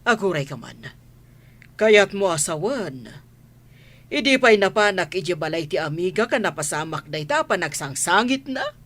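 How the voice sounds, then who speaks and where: An actress playing a stern, suspicious older mistress of a wealthy house in an Ilocano radio drama, scolding and questioning. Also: female voice, fairly low pitch, big pitch swings, normal speed, a bit bright. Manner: scolding, interrogating.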